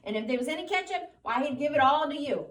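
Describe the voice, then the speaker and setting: A woman reading aloud and voicing a scolding, disapproving little old aunt in a sharp rural drawl. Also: sits high, animated, fast talker, reverberant, distant mic, voice pushed up.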